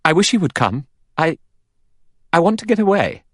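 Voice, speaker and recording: A highly strung young woman from a storybook, played by a man. Her voice sits high and swings about anxiously. She is urgent and faltering, as if holding down panic, in a dry close recording. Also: sing-song, normal speed, fairly bright tone, no echo. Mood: agitated.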